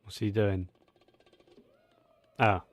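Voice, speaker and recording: A man's voice: on the low side, level tone, drawn-out words, no room sound. A man giving casual, laid-back reaction commentary over a game he is streaming, in brief remarks.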